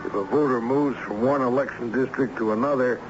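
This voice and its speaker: An actor playing a brisk, determined lawyer in a vintage radio drama. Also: male voice, mid-range voice, faint music behind, lightly acted. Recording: noisy, muffled old radio broadcast.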